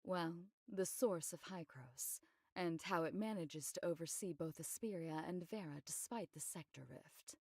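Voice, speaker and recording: A composed, discreet woman investigator from an anime game. Her voice sits slightly low with a clear fry in it. She briefs in a measured, matter-of-fact way, recorded close and dry. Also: darkish tone, no room sound. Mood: serious, discreet. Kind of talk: scripted anime game voice acting.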